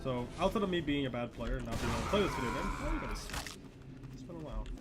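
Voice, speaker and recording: A man's voice: medium pitch, animated, rapid-fire, heavy background noise. A young man with a nasal, congested voice from a cold, giving rambling, chatty gameplay commentary. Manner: rambling, chatty, self-deprecating.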